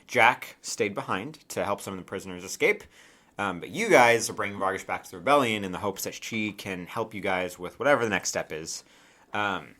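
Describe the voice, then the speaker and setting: A man's voice: lowish voice, sharp tone. A man acting as game master, casually narrating a tabletop game and talking directly to his players in a hesitant, conversational way.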